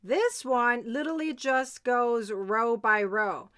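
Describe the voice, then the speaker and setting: A woman's voice: a little high, lively intonation, slow pace, a bit bright, clear, resonant tone. A woman hosting a live step-by-step crochet tutorial, chatty and insistent, often checking that listeners follow. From Germany.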